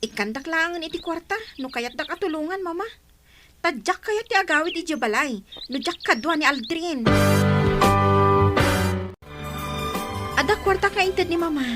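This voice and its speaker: A woman's voice: very high voice, animated, a little fast, loud music behind the voice. A woman playing a young, pregnant Ilocano village girlfriend in a radio drama, devoted, pleading and stubbornly happy. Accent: Filipino, Ilocano. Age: young adult.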